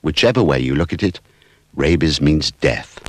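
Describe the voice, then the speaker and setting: A man's voice: a bass voice, lively intonation, normal speed, music in the background. A man narrating a scripted public information film as a grave, ominous warning. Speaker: voice-over narrator. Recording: noisy old film soundtrack.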